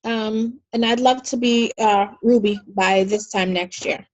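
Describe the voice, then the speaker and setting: A woman on a casual group video call, talking softly and a little haltingly. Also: on the high side, steady tone, rough recording.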